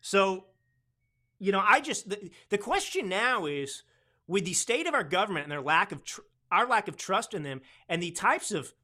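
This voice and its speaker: A male podcast host whose voice sits high for a man and comes across bright. He talks in a sarcastic, mocking tone and rambles with frequent restarts. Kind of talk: political commentary podcast.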